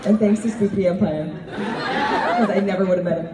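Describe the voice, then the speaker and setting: A woman in her thirties, slightly hoarse, answering fan questions at a convention panel with laughing, animated storytelling. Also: mid-range voice, normal speed, a loud crowd, very noisy. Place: large convention hall with audience. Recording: roomy hall recording from far off.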